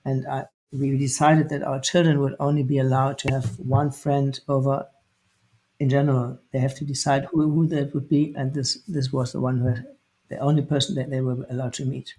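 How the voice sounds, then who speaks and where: A man in his fifties, an English speaker, recounting his experience in a plain-spoken, slightly halting way. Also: mid-range voice, little pitch movement, darkish tone, poor audio. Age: 50s. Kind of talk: interview testimony.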